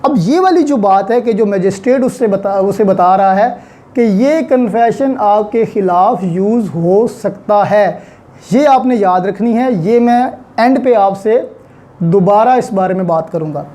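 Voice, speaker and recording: A man's voice: sits high, a little slow, dark tone. A man with a Pakistani accent giving an explanatory law lecture in a didactic way, repeating key points and mixing in English terms.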